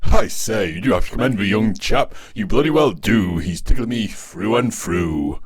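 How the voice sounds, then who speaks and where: A man's voice: on the low side, animated, normal speed, breathy edge. Man voicing a posh, gleefully cruel English demon dog. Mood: amused, admiring. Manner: pompous, old-fashioned, savouring cruelty.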